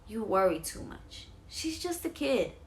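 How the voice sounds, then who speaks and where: A woman's voice: a little high, measured pace, voice pushed up. A woman voicing an easygoing, reassuring father in a children's story, protective in manner. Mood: reassuring, frustrated. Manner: calm, protective.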